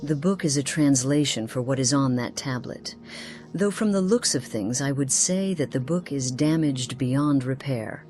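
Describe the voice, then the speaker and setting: Casting: actress for earnest, solemn woman companion in a fantasy RPG, unusually low register, courtly wording. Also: normal speed, dark tone, soft background music. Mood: somber, reflective. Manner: formal archaic phrasing, earnest. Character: Alicia, grave haunted woman companion, formal and concerned. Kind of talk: dramatic fantasy game voice acting.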